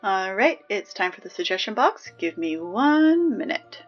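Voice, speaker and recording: A woman's voice: mid-range voice, sing-song, a little slow, muffled recording, faint music behind. A woman playing a flustered, exasperated teenage school announcer in an audio drama, talking in a hesitant, pleading, rambling way. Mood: upbeat. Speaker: morning announcements host.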